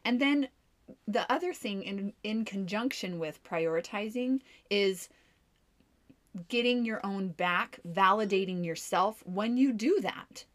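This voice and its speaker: A woman's voice: medium pitch, measured pace. A woman co-hosting a podcast, a mom giving upbeat, encouraging advice in casual chat. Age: adult mom. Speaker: podcast co-host.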